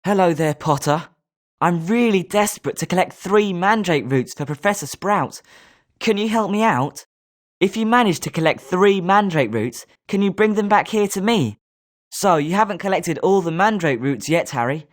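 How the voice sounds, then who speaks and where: A man's voice: high-pitched, lively intonation, normal speed. A man playing a British schoolboy wizard in a video game, speaking scripted lines expressively. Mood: eager. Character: schoolboy wizard student, polite but sometimes sneering.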